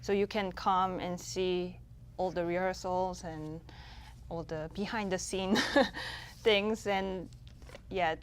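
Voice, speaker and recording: A woman who runs a music festival, answering TV studio interview questions in a measured, somewhat hesitant way. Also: lowish voice, fairly even intonation, a little hiss or hum. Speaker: festival executive director and musician.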